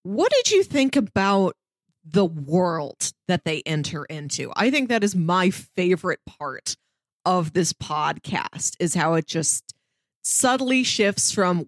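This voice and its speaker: A woman co-hosts a podcast in casual chat about a show, her voice rising and falling with lively expression. She tells her points with animated energy. The sound is dry, with no room echo around it. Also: fairly low pitch, measured pace. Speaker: podcast co-host.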